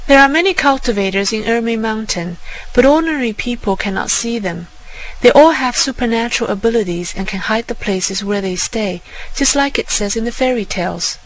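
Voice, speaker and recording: A woman's voice: fairly high pitch, expressive, brisk, rough recording. A woman reading a scripted first-person fairy tale as a storybook narrator. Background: music.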